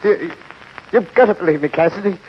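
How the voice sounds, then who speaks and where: A man's voice: high voice, brisk, soft background music, natural voice. A man playing a frightened captured henchman, stammering and pleading, in an old-time western radio drama. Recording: dull, faintly noisy old radio recording.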